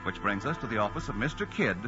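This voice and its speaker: Animated male old-time radio announcer. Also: fairly low pitch, fast. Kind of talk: 1940s radio announcing, scripted narration. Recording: dull old radio recording with music. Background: loud music.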